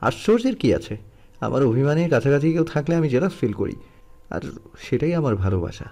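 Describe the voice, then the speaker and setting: A man's voice: medium pitch, big pitch swings, fairly quick, warm tone. A man playing a possessive, teasing, lovestruck young man in an audio story. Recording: music under the voice.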